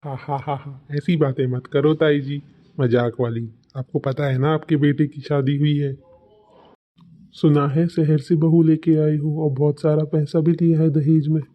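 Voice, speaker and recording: A man's voice: on the high side, little pitch movement, measured pace, dull audio, natural voice. A man playing a gossipy village busybody in a cartoon, teasing and needling with mock friendliness. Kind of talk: cartoon character dialogue.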